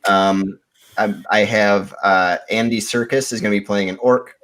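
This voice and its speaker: Low-voiced man pitching ideas casually. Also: fairly even intonation, normal speed, a bit bright, rough recording. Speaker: podcast contestant pitching movie ideas.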